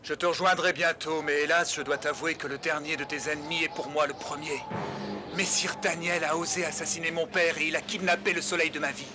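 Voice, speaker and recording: A man playing an earnest young hero, lightly high-pitched. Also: fairly even intonation, brisk, over loud music, lightly acted. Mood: resolute, earnest. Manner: formal, earnest, resolute. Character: brave, earnest young male knightly hero. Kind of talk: French animated adventure dub acting.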